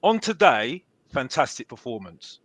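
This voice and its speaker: A man, a fan pundit on a podcast, loud and repetitive, insisting on his point. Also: mid-range voice, big pitch swings, unhurried, no room sound. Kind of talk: heated fan podcast debate.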